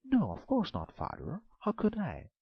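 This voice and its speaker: A timid but clever young girl speaks up in a raised, pleading voice, as a man puts her on for a storybook reading. The tone is slightly husky. The sound is dull and dry. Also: wildly swooping pitch, moderate pace, no room sound, full character voice. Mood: innocent. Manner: higher, pleading, innocent.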